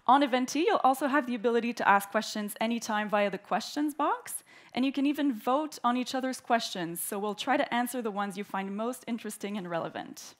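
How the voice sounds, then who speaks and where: Woman opening a conference, high voice. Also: brisk. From Canada. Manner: warm, clear and orderly, reading prepared remarks. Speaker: event host, opening speaker. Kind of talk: formal welcoming speech. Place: conference hall.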